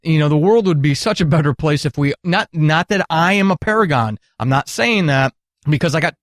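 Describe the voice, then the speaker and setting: A man's voice: on the high side, expressive, brisk, no echo, clean studio sound. A middle-aged man telling candid, earnest stories at length as an interview guest, with emphatic phrasing. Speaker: podcast guest, retired military counselor.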